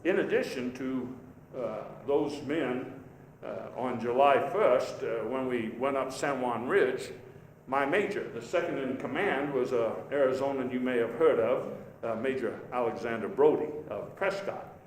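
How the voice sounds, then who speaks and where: A man playing a genial, self-deprecating old statesman who tells stories in a halting, folksy after-dinner speech. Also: mid-range voice, close to an everyday voice. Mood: proud, reminiscing. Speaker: historical reenactor giving a speech. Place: large indoor hall before an audience. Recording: echoey hall, noisy live recording.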